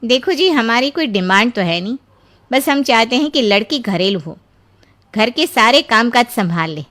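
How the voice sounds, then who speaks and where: A woman voicing a brisk, matter-of-fact, bossy in-law from a big household in a Hindi cartoon story. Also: on the high side, expressive, a bit bright.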